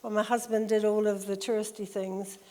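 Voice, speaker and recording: Woman, New Zealand accent, middle-aged, chatty with ums, mellow tone, long hall reverb. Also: mid-range voice, level tone, a little fast. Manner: warm and chatty, with many ums. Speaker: social worker. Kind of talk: church interview, conversational.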